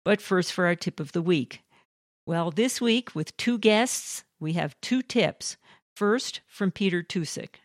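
A woman co-hosting a podcast, reading intros and sponsor notes in a measured, announcing way. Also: lowish voice, expressive, no echo, studio quality. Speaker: podcast co-host. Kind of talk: scripted host reading.

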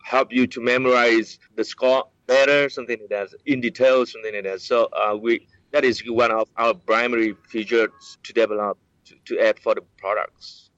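A man's voice: medium pitch, fairly even intonation, normal speed, a bit bright, no echo. Hesitant man, dull lo-fi sound. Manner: hesitant, many fillers and restarts. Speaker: app developer, interviewee. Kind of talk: explaining, casual interview.

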